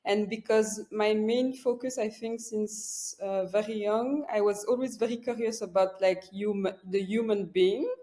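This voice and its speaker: A young woman from Belgium with a Belgian French accent, a native French speaker, talking as a psychiatrist guest on a podcast, softly and with many pauses. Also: medium pitch, fairly even intonation. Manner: soft-spoken, searching for words with frequent "uh"; laughs lightly while speaking. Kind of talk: casual interview talk.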